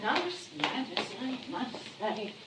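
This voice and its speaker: A woman's voice: sits high, animated, slow. A woman playing a pert, put-upon household servant in a stage comedy, grumbling. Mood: sullen grumbling. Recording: distant from mic, faint noise.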